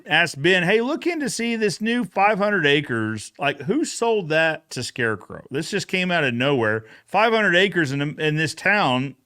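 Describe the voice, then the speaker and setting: A man with a somewhat high voice hosts an informal recap conversation. He retells the story with animation, slipping into quoted dialogue as he goes. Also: big pitch swings, a little slow, few pauses, pushed and bright. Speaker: show host. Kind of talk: casual recap chat with a co-host.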